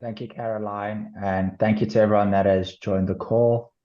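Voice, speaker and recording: Brief: South African man, deep flat voice, measured webinar presenter, dull call audio. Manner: measured, formal business talk. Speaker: corporate finance lead.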